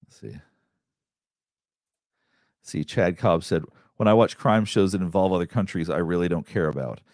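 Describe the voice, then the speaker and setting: Low-voiced man chatting on a live stream. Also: normal speed, darkish tone, no echo, clean studio sound. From Southeastern United States. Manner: rambling, many restarts and fillers, candid. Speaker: therapist hosting a live Q&A. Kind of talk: casual livestream chat answering viewer questions.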